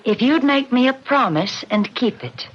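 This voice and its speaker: A young, defiant daughter in a vintage radio mystery, pressing her questions with determination. Her voice is fairly high and husky. The old recording is muffled. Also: animated, a little slow. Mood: determined. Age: young adult. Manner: insistent, pointed questioning. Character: determined, defiant grown daughter seeking her mother's killer.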